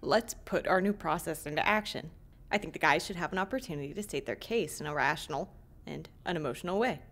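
A woman voicing a controlling, self-appointed male mediator in a comedy fan dub, measured, persuasive and pompous. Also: low-pitched, fairly bright tone. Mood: diplomatic.

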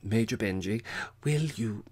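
A man voicing a prim, sharp-tempered middle-aged woman in an audiobook, speaking with dignified, distinct words. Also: on the low side, measured pace, voice pushed up. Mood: indignant. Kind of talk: audiobook character voice.

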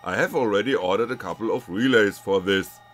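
A man's voice: lowish voice, fairly quick, a bit bright. A man reading scripted technical narration as a maker presenting his own project.

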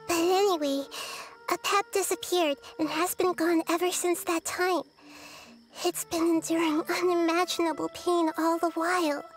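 Childlike game goddess, tiny high voice, thoughtful, deliberate, wise, faint music behind. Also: female voice, steady tone. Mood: thoughtful, concerned. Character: small childlike girl deity, wise, thoughtful, gentle. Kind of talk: video game voice acting.

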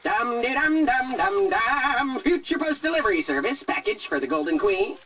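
A woman's voice: fairly high pitch, big pitch swings, normal speed, far from the mic. A woman playing a chirpy, naive delivery robot in a video game, sing-song and cheerfully mechanical. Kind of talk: video game cutscene acting. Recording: music behind the voice.

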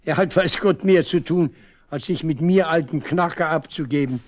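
A man's voice: a little high, moderate pace, lightly acted. An actor playing a proud, talkative old village father in a 1970s East German radio drama, wistful and emphatic. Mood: defensive, hopeful. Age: older.

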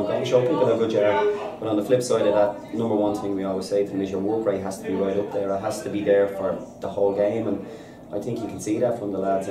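Irish manager, 30s, post-match chat: low, even-toned voice, rattled off fast, roomy echo, crowd noise behind. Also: male voice, distant mic. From Ireland. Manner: upbeat, fast and repetitive, says "listen" a lot. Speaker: football manager. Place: bare indoor room at a stadium. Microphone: reporter's handheld recorder held out. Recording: echoey room, off-mic, crowd behind.

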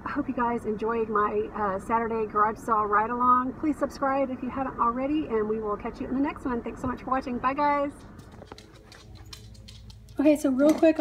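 A woman in her forties, a reseller, chatting casually and excitedly to her phone camera about thrift finds, laughing often. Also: fairly high pitch, distant mic. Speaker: reseller vlogger. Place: parked car, later a dim room at home. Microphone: phone camera at arm's length. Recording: phone mic held a bit away, noisy.

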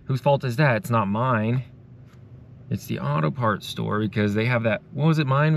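A man's voice: lowish voice, a little hiss or hum. A young man, a mobile mechanic, talking casually to the camera, venting about his work and explaining repairs step by step.

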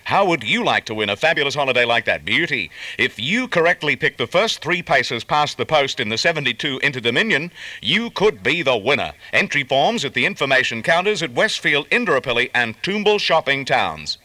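A man's voice: medium pitch, sing-song, brisk, sharp tone, no room sound. An Australian man presenting a 1970s commercial radio breakfast show as its host.

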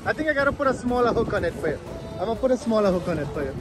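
A man's voice: high voice, big pitch swings, rapid-fire. A man with a Guyanese accent hosting a casual family vlog on a beach, joking and teasing the people around him as he films on a phone. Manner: jokey, teasing, laughs often. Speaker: vlog host. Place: sandy beach outdoors. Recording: outdoor beach with music and crowd chatter. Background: background music and crowd chatter.

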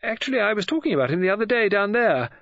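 A woman's voice: mid-range voice, big pitch swings, racing, a bit bright, dry recording, highs cut off, voice pushed up. A man voicing a chatty, flustered middle-aged English woman novelist in an audiobook, with a gushing, breezy manner.

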